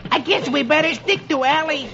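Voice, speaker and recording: A dull old radio recording carries a man acting a small cartoon boy from the funny pages. The voice is high and lively, swinging up and down with eager, childlike phrasing. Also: moderate pace. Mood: resigned. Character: young cartoon boy, Donald Duck's eager nephew. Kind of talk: reading newspaper comics aloud in character.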